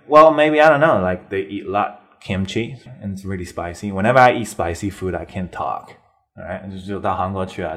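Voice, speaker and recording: A young man from China doing a bilingual stand-up comedy lesson as host, animated and leaping high in pitch on exclamations. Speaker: English-teaching comic host.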